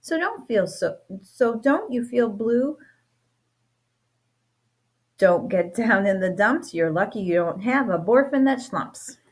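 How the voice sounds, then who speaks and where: A teacher reading a rhyming children's book aloud, playful and expressive, with a sing-song lilt. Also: female voice, on the high side, takes it slowly, long pauses, breathy edge. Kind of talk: storybook read-aloud.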